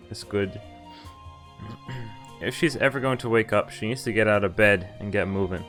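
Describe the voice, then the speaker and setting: Male streamer, slightly low register, casual read-aloud delivery with self-corrections and chat asides, soft music underneath. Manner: stumbles over read lines and corrects himself. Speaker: streamer reading a visual novel aloud. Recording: music playing behind the voice. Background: background music from the game.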